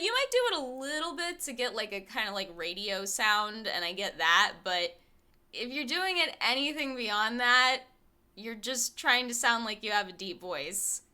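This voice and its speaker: A woman streamer chatting candidly with viewers, swearing freely and laughing often, with a small light voice that carries little bass. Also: bright, forceful tone. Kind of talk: casual chat with stream viewers.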